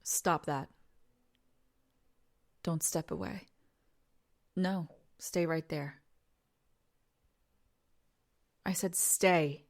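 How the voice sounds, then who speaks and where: A woman playing a teasing, domineering young woman in an intimate audio roleplay, creaky and breathy, often dropping into whispers. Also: on the low side, fairly even intonation, extremely slow. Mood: exasperated, commanding. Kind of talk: intimate audio roleplay, second-person dramatic monologue.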